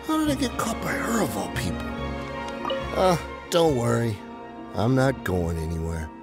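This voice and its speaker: A man voicing a gruff, weary desert outlaw in a video game. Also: mid-range voice, big pitch swings, moderate pace, loud music behind the voice. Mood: resigned.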